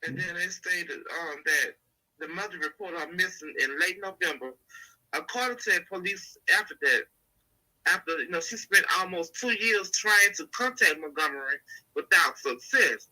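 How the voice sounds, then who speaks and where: Soft-spoken congested woman caller. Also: lowish voice, expressive, normal speed, rough recording. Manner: soft-spoken, hesitant, many fillers. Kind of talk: casual phone-in chat.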